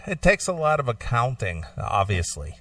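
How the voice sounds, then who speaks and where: A man co-hosting a talk show, alternating between read-out news and casual discussion in a measured, agreeable, matter-of-fact way. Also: mid-range voice, big pitch swings, fairly bright tone, distant mic, soft background music.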